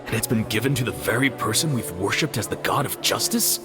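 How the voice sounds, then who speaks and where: A man's voice: on the low side, lively intonation, brisk, over music, natural voice. A man voicing an incredulous courtroom spectator in a video game cutscene. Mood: stunned disbelief.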